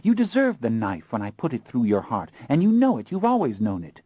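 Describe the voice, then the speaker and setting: A man's voice: medium pitch, huge pitch swings, a little fast, no room sound, lo-fi sound, voice pushed up. A man voicing a childlike vampire girl who coldly accuses and taunts. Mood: accusing.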